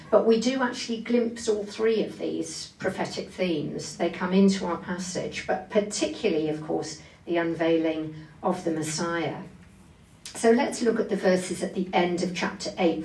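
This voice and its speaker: A woman with a slightly low voice delivers an expository sermon. She explains scripture point by point and stresses key phrases. The recording is roomy, with the microphone some way off. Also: moderate pace, darkish tone. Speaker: preacher giving a Bible talk. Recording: echoey room, distant microphone.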